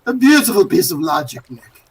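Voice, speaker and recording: An actor playing a gloating, urbane murderer who speaks with mocking politeness, in 1940s radio drama style. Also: male voice, high voice, sing-song, fairly quick, slight background noise, rough recording. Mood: smug, menacing. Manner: mocking politeness with frequent chuckles. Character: gloating, urbane male murderer holding captives at gunpoint.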